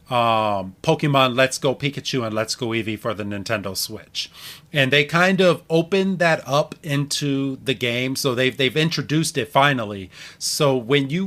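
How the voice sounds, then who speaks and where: A man with a bright, forward voice hosts a casual podcast recap. His delivery is halting, with repeated word starts and frequent chuckles, at a middling pitch. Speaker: podcast host. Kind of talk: casual episode recap chat.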